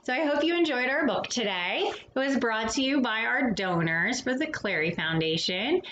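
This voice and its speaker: Expressive woman storyteller, higher-pitched, roomy sound. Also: normal speed, lo-fi sound. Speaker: storytime host reading a picture book aloud. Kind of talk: expressive children's storybook reading. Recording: roomy echo with faint background noise.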